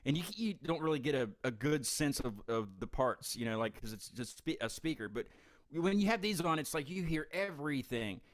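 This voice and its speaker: A man's voice: fairly high pitch, animated, a little fast, dry recording. A man hosting a casual video-call interview, stammering and repeating words with eager enthusiasm. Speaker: host of a live music show. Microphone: headphones with mic on a video call.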